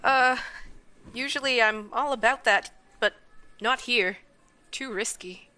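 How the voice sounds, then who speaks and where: A woman plays a bossy young supervisor with a sharp, bright, fairly high voice. She is sarcastic, brisk and dryly scolding, pitched for radio comedy. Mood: flustered. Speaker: voice actor in a radio play. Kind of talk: comedic radio play acting.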